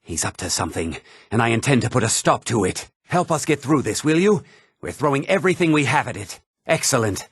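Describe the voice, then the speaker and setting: An actor voicing a haughty, formal middle-aged mage and official in a fantasy video game, speaking precisely and with condescending politeness. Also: male voice, medium pitch, big pitch swings, fairly quick, husky, no echo, studio quality. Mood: stern, exasperated, then urgent, alarmed.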